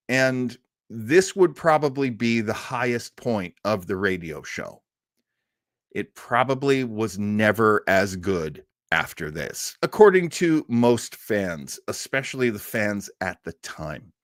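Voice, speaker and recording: A man's voice: mid-range voice, a bit bright, no room sound, clean studio sound. A man hosting an old-time radio livestream, chatting casually and enthusiastically in a rambling way. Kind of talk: casual livestream chat with audience.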